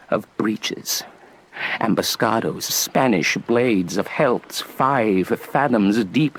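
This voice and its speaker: A man's voice: mid-range voice, slightly rough, poor audio. An actor playing a witty, teasing young nobleman in theatrical Shakespearean verse, relishing each phrase in a playful, declamatory way. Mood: darkening, intense.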